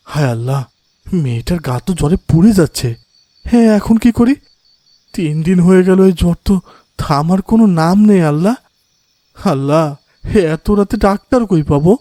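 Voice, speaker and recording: Guy acting devout village dad in a cartoon, soft mellow voice, emotional n pleading, dry close sound. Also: fairly high pitch, dry recording, close to an everyday voice. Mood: panicked, pleading. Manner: pleading, emotive, often invoking God. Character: anguished, devout village father of a motherless daughter.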